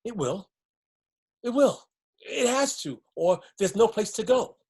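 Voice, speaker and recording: A man's voice: high-pitched, very animated, measured pace, a little mellow, husky, exaggerated voice. An actor playing a defiant, hopeful man plotting escape in a stage drama, speaking earnestly and emphatically. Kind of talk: dramatic stage-play dialogue.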